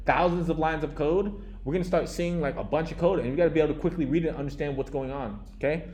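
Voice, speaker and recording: A man's voice: on the high side, fast, a little mellow, slight background noise. A young man teaching a live classroom coding lesson, talking casually with lots of restarts and checks like "okay?" and "right?". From United States. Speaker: coding instructor.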